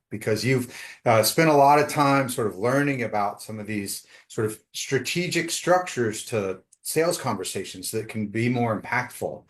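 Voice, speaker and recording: A man hosting a business podcast, asking long, rambling questions with frequent fillers and restarts. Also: medium pitch. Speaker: podcast host. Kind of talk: interview questions.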